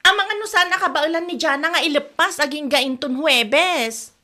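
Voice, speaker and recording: A woman's voice: high-pitched, moderate pace, bright, forceful tone. A woman with an Ilocano accent playing an eager, self-important show-off office worker in a radio comedy drama. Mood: eager, ingratiating.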